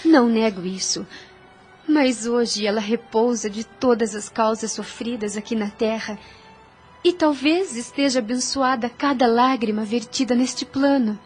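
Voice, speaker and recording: A devout young servant woman performed by an actress counsels with patient, forgiving earnestness. Her Brazilian-accented voice is somewhat high and soothing. The lines are formal radio soap dialogue over soft music. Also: unhurried, close to an everyday voice. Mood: consoling. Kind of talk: radio soap opera acting.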